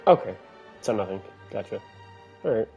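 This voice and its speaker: A man giving casual play-along commentary as a game streamer, halting as he thinks aloud. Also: lowish voice, big pitch swings, vocal fry, dark tone, highs cut off, soft background music.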